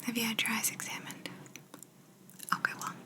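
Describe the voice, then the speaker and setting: A woman voicing a chatty, smug alien examiner in an ASMR roleplay, in a soft breathy whisper, unhurried and a little condescending. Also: lightly acted. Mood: clinical, gentle. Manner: unhurried, fussy, mildly condescending asides. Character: smug, chatty female alien examiner, clinical yet reassuring. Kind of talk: ASMR personal-attention sci-fi roleplay.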